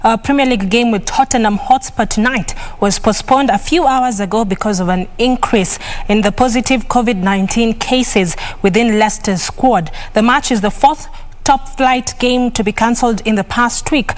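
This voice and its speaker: A woman anchoring the sports news, reading the script with crisp, steady delivery. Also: a little high, normal speed.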